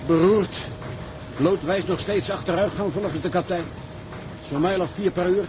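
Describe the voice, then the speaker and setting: Male actor as ship's officer: sober, plain-spoken, fairly high pitch, screen drama with very heavy background noise. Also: moderate pace, lightly acted. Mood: grave. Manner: matter-of-fact, grave. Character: sober, weary ship's officer reporting at sea. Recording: very noisy shipboard drama soundtrack.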